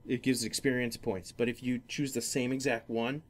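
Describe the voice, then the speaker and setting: A man hosting a casual gaming podcast, talking in a rambling, halting way that often circles back on itself. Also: mid-range voice, level tone. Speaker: podcast host.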